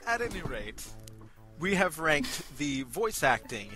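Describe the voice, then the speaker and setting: A man's voice: fairly high pitch, big pitch swings, slow, music in the background. A man co-hosting a casual review show, talking informally and with enthusiasm. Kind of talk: casual chat, reviewing amateur voice acting.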